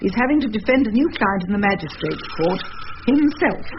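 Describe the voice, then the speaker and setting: An actress playing a domineering older wife in a radio drama, tart and scolding. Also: female voice, medium pitch, sing-song, fairly quick, highs cut off, background noise, close to an everyday voice. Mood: tart, disapproving. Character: imperious older English wife, scolding and brisk.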